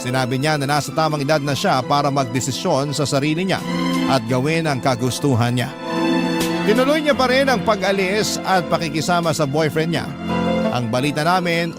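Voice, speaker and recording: A sorrowful young man played by an actor narrates a radio drama in the first person. His voice is rather high and heartfelt, and loud music plays behind him throughout. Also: close to an everyday voice. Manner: heartfelt storytelling.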